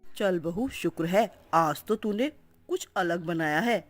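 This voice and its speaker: A grumpy middle-aged mother-in-law with a lowered voice, scolding and exasperated, as a woman puts her on. She speaks slowly and with lively swings of pitch, over soft background music.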